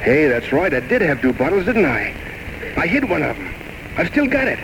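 A man's voice: a little high, very animated, moderate pace. A man playing an eloquent, charming but desperate drinker in an old-time radio drama, with florid, mock-grand, poetic delivery. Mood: needy, excited. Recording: noisy vintage radio recording with music.

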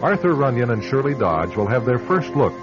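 A man narrating a scripted radio drama as its host, with measured, ominous storytelling. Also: medium pitch, big pitch swings. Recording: dull lo-fi radio recording. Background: loud music.

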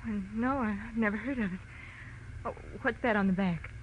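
A woman's voice: mid-range voice, normal speed, close to an everyday voice. An actress playing an anxious young woman in a 1940s radio detective drama, breathless and hesitant. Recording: muffled, noisy old radio recording.

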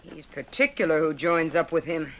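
A woman's voice: sits low, moderate pace. An actress playing a suspicious, hard-bitten woman who runs an outlaw hideout in an old-time radio western. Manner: suspicious, brusque. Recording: vintage radio recording, noisy background.

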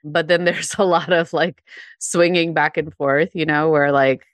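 A woman's voice: sits low, steady tone, measured pace, dry recording. A woman co-hosting a podcast, under the weather and a bit nasal, chatting casually and laughing often. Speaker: podcast co-host.

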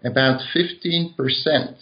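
A man with a Dutch accent, speaking English as a second language, who explains history in a podcast interview, pausing often on uh and um. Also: medium pitch. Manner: measured, explanatory, with frequent uh and um. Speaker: author and professor. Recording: dull lo-fi audio.

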